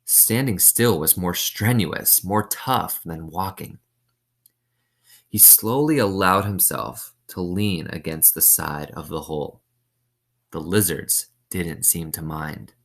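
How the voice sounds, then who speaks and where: Male storyteller, low register, reads a children's novel with simple explanatory asides and questions. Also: slow.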